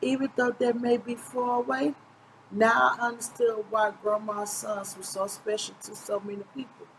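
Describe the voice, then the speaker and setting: A woman's voice: on the high side, flat delivery, normal speed, background noise. A middle-aged woman reads a children's picture book aloud informally, stumbling now and then and correcting herself. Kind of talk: storybook read-aloud narration. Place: small indoor room, seated close to the camera.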